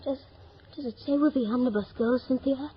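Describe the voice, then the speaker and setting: A woman's voice: high voice, brisk, breathy edge. A woman playing an eager, polite young boy in a 1950s radio drama, earnest and full of questions. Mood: hushed, puzzled. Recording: muffled, noisy 1950s radio recording.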